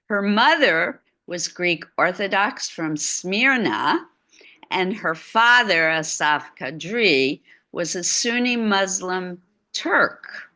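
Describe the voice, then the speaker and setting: Middle-aged woman, low bright voice, unhurried lecturer on a video call. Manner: slow, chatty asides while lecturing. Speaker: art lecturer. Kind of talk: online art lecture.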